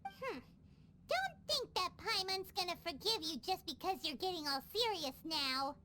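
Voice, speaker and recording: A woman voicing a tiny, excitable, cheeky fairy companion with a squeaky childlike voice in a video game. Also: normal speed, slight background noise, exaggerated voice. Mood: indignant. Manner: refers to self in third person, exclamatory. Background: background music.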